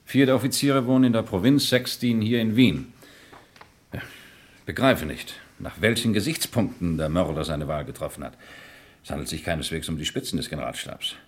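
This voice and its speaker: A man's voice: sits low, animated, moderate pace. A man playing a dutiful army major in a vintage radio crime drama, speaking with formal military courtesy. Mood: earnest, hesitant. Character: dutiful army major defending his fellow officers' honour.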